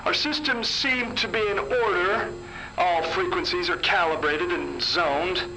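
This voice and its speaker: Stern male scientist, formal and exact, pitched a bit up, as a male narrator puts him on. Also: unhurried, sharp tone, faint music behind, full character voice, poor audio. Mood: solemn. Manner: precise, formal recitation of numbers.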